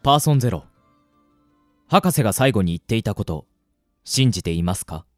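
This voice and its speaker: A man's voice: on the low side, animated, brisk, dry recording, lightly acted. A man playing an earnest, punctilious android assistant in a radio drama, speaking in formal, polite, precise phrases. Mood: curious, subdued. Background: music.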